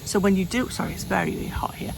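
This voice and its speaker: Gravelly woman in her late fifties. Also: medium pitch, fast, background noise. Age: 50s. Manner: rambling, frequent restarts and self-corrections. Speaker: vlogger. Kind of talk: candid personal vlog. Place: home, hot room.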